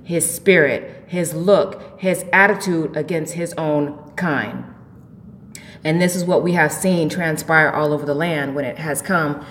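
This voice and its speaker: Woman, low-pitched, spiritual show host, informal and preachy, emphatic delivery, reverberant room sound. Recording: echoey, reverberant room with faint noise.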